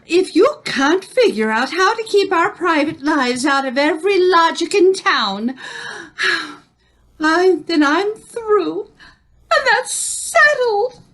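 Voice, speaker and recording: A woman's voice: very high voice, lively intonation, takes it slowly, fairly bright tone, full character voice. A woman playing a fed-up, indignant wife in an old-time radio drama, emphatic and theatrical.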